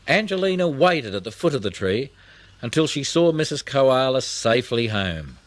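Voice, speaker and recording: A man reading a children's audiobook in an expressive storytelling style, with plucked guitar music behind him. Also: medium pitch, normal speed. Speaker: audiobook narrator.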